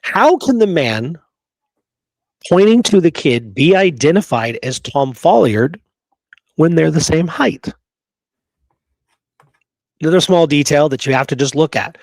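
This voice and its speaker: A man's voice: fairly high pitch, lively intonation, measured pace, long pauses, darkish tone, no echo, rough recording. A man co-hosting a history discussion, talking conversationally and emphatically, drawing out key phrases and asking rhetorical questions. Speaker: history show co-host. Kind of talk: conversational debunking discussion of an old photograph.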